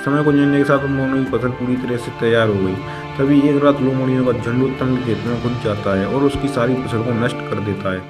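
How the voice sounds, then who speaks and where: A man's voice: medium pitch, fairly even intonation, brisk. Male storyteller with music behind. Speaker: narrator. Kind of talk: scripted children's moral story narration.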